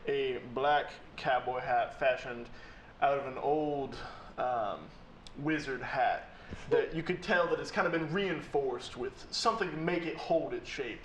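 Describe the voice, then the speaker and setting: A man's voice: mid-range voice, echoey room, a little hiss or hum, rough recording. A man playing a tabletop game, teasing and dry as he speaks in character and describes his hero. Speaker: player.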